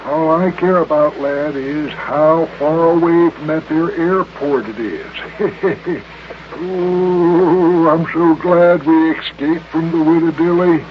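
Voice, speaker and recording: A man's voice: on the high side, slow pace, slight background noise. A man playing a blustery, skittish old mayor with a folksy, exclaiming drawl in a children's radio serial. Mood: relieved. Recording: muffled old radio recording with music.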